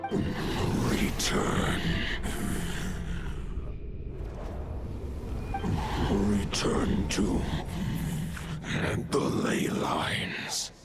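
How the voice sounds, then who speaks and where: An actor playing an ancient wolf god who speaks slowly, gravely and in a half-whisper. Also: male voice, fairly low pitch, over music, heavily acted. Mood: solemn. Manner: slow, grave and resigned.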